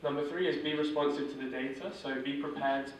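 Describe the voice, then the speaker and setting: Guy giving a startup workshop talk, pretty flat delivery, recorded from across a big echoey room. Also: on the high side, a little fast, recorded from a distance, a little hiss or hum. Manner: steady, monotone delivery with filler words. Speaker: workshop instructor. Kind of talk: informal teaching talk.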